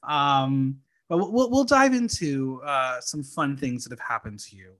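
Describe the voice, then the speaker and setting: A man's voice: mid-range voice, measured pace. A man hosting a podcast, chatting casually and joking with his guest. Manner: playful, jokey, animated. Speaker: podcast host. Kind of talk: casual interview chat.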